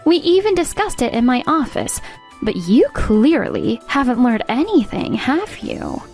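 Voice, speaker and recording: A woman's voice: fairly high pitch, big pitch swings, normal speed. A woman playing a stern, commanding company boss in an anime-style dub, with a pointed, authoritative manner. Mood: stern, accusing. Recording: music bed behind the voice.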